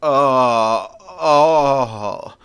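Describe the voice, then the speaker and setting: A man's voice: medium pitch, big pitch swings, measured pace, creaky, pushed and bright, breathy edge, heavily acted. A man voicing a scheming, taunting crime-boss villain in a goading manner while telling a story. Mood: pained. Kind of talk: amateur storytelling character voice.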